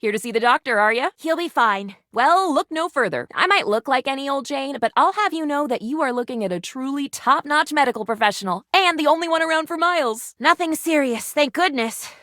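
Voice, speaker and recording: Perky, high-pitched, eccentric lady doctor. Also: lively intonation, a little fast, few pauses, bright, forceful tone, dry recording, studio quality. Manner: gushing, old-fashioned, melodramatic phrasing. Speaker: video game voice actor. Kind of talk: animated video game character acting.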